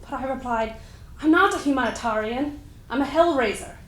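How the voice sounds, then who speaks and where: Woman acting old woman agitator, feisty, proud, higher-pitched, roomy echo, staged historical monologue. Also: lively intonation, normal speed. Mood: proud, defiant. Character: feisty, proud old Irish-born woman labor organizer. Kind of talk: first-person historical monologue, staged dramatic reading. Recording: echoey room.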